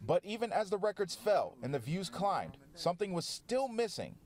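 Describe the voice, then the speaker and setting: A man's voice: high voice, big pitch swings, normal speed, darkish tone. A man reporting sports news, narrating feature stories in a polished broadcast delivery.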